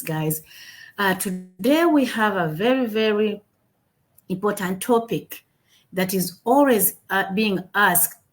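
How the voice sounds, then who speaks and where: A woman from Uganda hosting a livestreamed business talk, upbeat, with many fillers and repeated words. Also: medium pitch. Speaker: webinar host. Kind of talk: casual livestream chat. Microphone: home computer mic on a video call.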